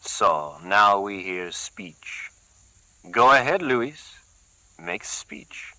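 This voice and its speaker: Man voicing a low, slow-talking Puerto Rican gangster with a sharp, dry tone and broken English with a Puerto Rican Spanish accent. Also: no echo, poor audio. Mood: sarcastic. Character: Puerto Rican man, brooding, menacing gang leader.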